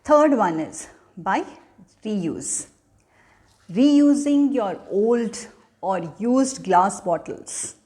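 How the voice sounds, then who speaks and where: A woman storyteller speaks to children in an educational style. Her pace is very unhurried and her pitch sits in the middle range. She sounds teacherly and deliberate, laying things out step by step. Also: lively intonation, warm tone. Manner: teacherly, deliberate, asking questions then answering them. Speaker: children's storyteller and channel host. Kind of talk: educational talk addressed to children.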